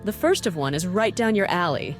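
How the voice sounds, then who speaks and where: A woman voicing a bossy, cynical female task force boss in a visual novel, brisk, dry and condescending. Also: fairly low pitch, animated, soft background music, natural voice. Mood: businesslike.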